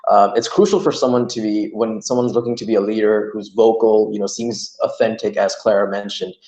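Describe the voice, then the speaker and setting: Young male workshop presenter, lowish voice, casual and rambling, roomy call audio. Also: steady tone, fairly quick, warm tone. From Iran. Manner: chatty, many fillers like "you know" and "like". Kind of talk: online training talk. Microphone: video call.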